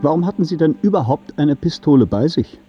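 A man's voice: on the high side, expressive, fairly quick, highs cut off, over music, lightly acted. An actor playing a firm, probing male judge in a German radio play, questioning formally and insistently.

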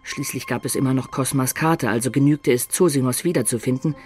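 An actor playing a wistful adventurer who recounts his life in a German radio play, in a measured, expressive storyteller's manner. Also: medium pitch, close to an everyday voice. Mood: reflective. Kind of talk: dramatic first-person narration in German radio play.

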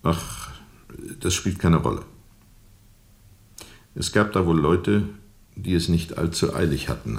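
Radio play lead: brooding sea captain, deep register, formal measured phrasing, bitter and introspective storyteller. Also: male voice, gravelly, darkish tone, full character voice. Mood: uncertain, evasive. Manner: reflective, deliberate, formal, bitterly disillusioned. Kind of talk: radio play narration, literary monologue.

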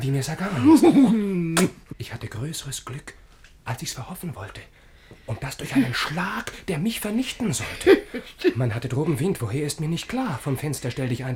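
An actor playing an ardent, naive young gentleman in love, telling his tale exuberantly in rhymed verse for a radio play. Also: a little high. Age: young adult.

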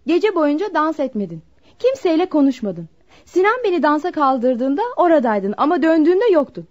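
A carefree, impatient young girlfriend in a radio play. The pitch is high and very animated, full of coaxing and pouting. The sound is dull and lo-fi. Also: moderate pace. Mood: dismissive, teasing. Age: young adult. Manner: coaxing, petulant, dismissive. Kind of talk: Turkish radio theatre acting. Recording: dull lo-fi radio drama recording.

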